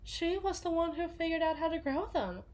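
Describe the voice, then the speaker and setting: A woman playing a gruff, sweary villain's bodyguard who confides haltingly and sardonically in an intimate ASMR audio roleplay. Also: extremely high pitch, lively intonation, a little mellow. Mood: wistful grief. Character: gruff, foul-mouthed female villain's bodyguard, sardonic and haunted.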